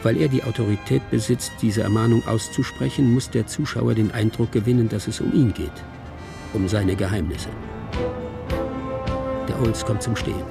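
A man's voice: fairly low pitch, steady tone, normal speed, vocal fry. A man playing a detached narrator who reads out a film script in short, observational present-tense sentences for a radio drama. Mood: detached, suspenseful. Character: detached male narrator reading out a film screenplay. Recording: music under the voice.

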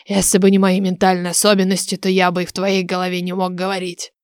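A woman's voice: fairly low pitch, completely flat delivery, fast talker, no echo, clean studio sound, close to an everyday voice. A woman voicing a grumpy, blunt old male oracle in a fantasy audiobook, grumbling in colloquial speech. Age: older. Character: grumpy ancient male oracle, telepathic voice from stone egg.

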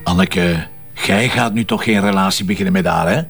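An actor playing a wry, impatient but affectionate detective husband in a radio drama, speaking colloquial Flemish. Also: male voice, deep voice, normal speed, gravelly, fairly bright tone, soft background music. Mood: firm, impatient. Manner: colloquial, sardonic. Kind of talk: naturalistic radio drama dialogue.

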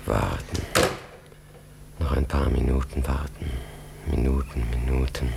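A man's voice: very deep voice, steady tone, a little slow, vocal fry, noisy background. A man narrating a literary radio drama in an intense, terse and dramatic manner. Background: music.

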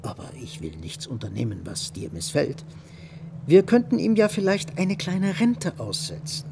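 An actor in a radio play playing a practical, wealthy bourgeois husband, deliberating and insistent. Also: male voice, fairly high pitch, sing-song, normal speed, vocal fry, mellow, a little hiss or hum. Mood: earnest, deliberating. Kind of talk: German radio play dialogue.

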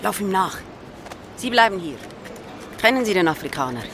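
High-pitched, stern policeman. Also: very animated, normal speed. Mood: suspicious. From Switzerland. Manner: curt, interrogating. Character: stern, officious male police officer, curt and suspicious. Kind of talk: audio drama acting. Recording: noisy outdoor ambience.

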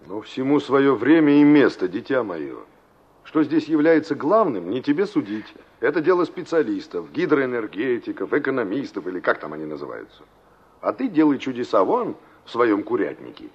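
An actor playing a brusque, mocking carpenter in a Soviet radio play, talking down to a younger listener. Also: male voice, medium pitch, sing-song, moderate pace, breathy edge. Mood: dismissive. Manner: sardonic, condescending. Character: brusque, mocking carpenter, older than the girl he talks to. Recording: dull, muffled 1960s radio recording.

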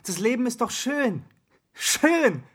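A man's voice: very high voice, big pitch swings, slow, husky, heavily acted. An actor playing an intense, dreamy young man confessing himself with impassioned drama in a radio play. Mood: feverishly elated. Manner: impassioned, dramatic, swinging between hushed and ecstatic. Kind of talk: German radio drama acting.

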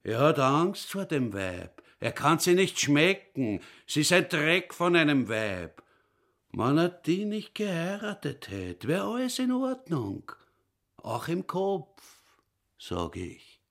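A man's voice: a little high, big pitch swings, takes it slowly, fairly bright tone, full character voice. An actor with a Viennese accent playing a burly, brutish retired policeman turned caretaker, crude and pompous in a radio drama. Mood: gruff, scornful. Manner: pompous, coarse dialect bluster.

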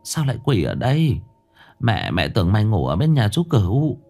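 Frightened village widow, put on by a male narrator, high and pleading, swooping pitch. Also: wildly swooping pitch, normal speed, warm tone, faint music behind, heavily acted. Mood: anxious. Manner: pleading, shrill with shame.

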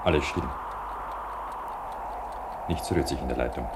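An actor playing a sober, sceptical cable-car worker recalling an avalanche disaster in a German radio play. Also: male voice, very deep voice, measured pace, very noisy. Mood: uneasy, sceptical. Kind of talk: German radio play acting and first-person narration.

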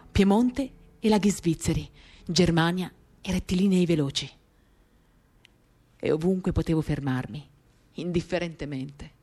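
A woman giving a literary dramatic reading of a first-person monologue, measured, with pauses between short phrases. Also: fairly low pitch, a little mellow. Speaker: reader of a novel, performing a radio reading. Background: acoustic guitar music.

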